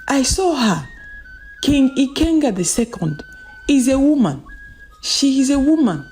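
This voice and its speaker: A woman's voice: high-pitched, sing-song, measured pace, a little mellow, faint music behind, pitched above the performer's own voice. A woman storyteller voicing a shocked young maid who urgently and insistently repeats her report to her lord in a folktale.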